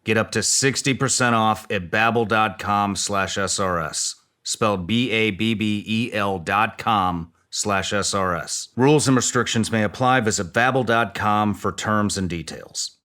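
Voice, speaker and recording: Lowish-voiced man reading ads. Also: pushed and bright. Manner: brisk promotional delivery. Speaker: podcast host. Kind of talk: read ad spot.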